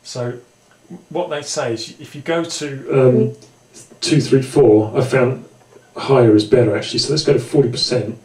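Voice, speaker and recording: A man presenting an informal hands-on synthesizer tutorial in a conversational, explanatory way, with hesitant pauses. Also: medium pitch, steady tone, darkish tone. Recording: roomy echo, distant microphone.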